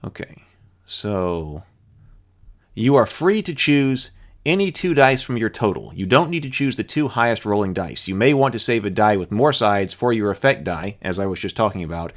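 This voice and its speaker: Low-pitched man explaining game rules. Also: animated, moderate pace. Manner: explanatory, thinking aloud between passages. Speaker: host reading and explaining a game rulebook. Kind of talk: read-aloud rules tutorial with off-the-cuff commentary.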